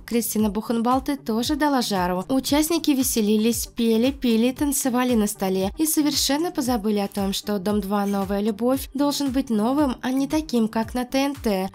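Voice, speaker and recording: A woman's voice: fairly high pitch, a little mellow. A woman with a cold narrating scripted celebrity gossip news in a chatty, slightly sarcastic way, over background music. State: has a cold. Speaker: celebrity news channel host.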